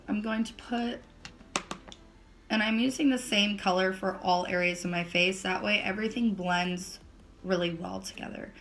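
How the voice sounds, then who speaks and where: A young woman with a slightly raspy voice, still recovering from laryngitis, chatting casually through a makeup tutorial with lots of fillers. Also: mid-range voice. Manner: chatty, filler-heavy step-by-step explaining. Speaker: beauty vlogger.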